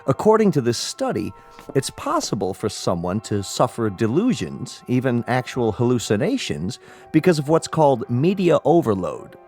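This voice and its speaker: A grave, courteous family doctor explains things formally in a radio play. His mid-range voice rises and falls widely, deliberate and professorial, over faint music. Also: mid-range voice, wildly swooping pitch, mellow. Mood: grave, explanatory. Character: measured, pedantic male family doctor, gravely polite. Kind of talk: radio drama acting.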